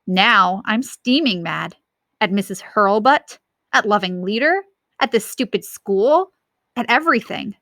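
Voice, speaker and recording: A woman's voice: medium pitch, lively intonation, unhurried, fairly bright tone, no room sound, clean studio sound. A woman voicing a nervous but defiant schoolboy in a storybook, earnest and indignant.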